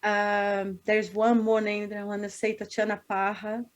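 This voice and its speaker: A woman from Brazil speaks, at a middle pitch. She reflects aloud in an interview, trailing off and restarting, often breaking into small laughs. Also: completely flat delivery, moderate pace, muffled recording. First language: Portuguese. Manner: thoughtful, searching for words with frequent restarts and laughs. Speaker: singer. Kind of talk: interview conversation.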